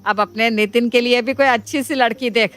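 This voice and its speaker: A woman voicing an eager, trusting middle-aged mother in a dramatised moral story, chatty and expressive. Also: fairly high pitch, a little fast, close to an everyday voice. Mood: confiding.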